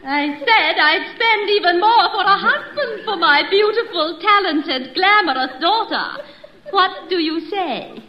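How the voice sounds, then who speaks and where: Very high, gushing society matron. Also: female voice, lively intonation, a little slow, slight background noise. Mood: eager, scheming. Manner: effusive, fluttery, affected politeness. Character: gushing wealthy society matron mother, pushing her daughter. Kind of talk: 1940s-50s radio comedy acting. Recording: roomy, dull old radio recording, audience.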